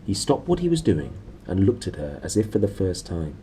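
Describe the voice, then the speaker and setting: A man's voice: low-pitched, big pitch swings, brisk, warm tone, a little hiss or hum, low-quality recording. A man in his thirties reading a storybook aloud expressively in a small room, recorded on a nearby camera. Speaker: storybook reader. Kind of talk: expressive read-aloud narration.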